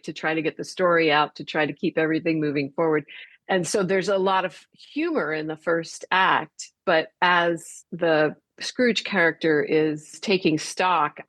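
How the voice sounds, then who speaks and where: A woman with a fairly low voice speaks as a guest in a conversational radio interview. She explains things through vivid, detailed examples. The sound is close and dry, with no room around it. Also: a bit bright, no echo. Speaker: interview guest, theatre artistic director.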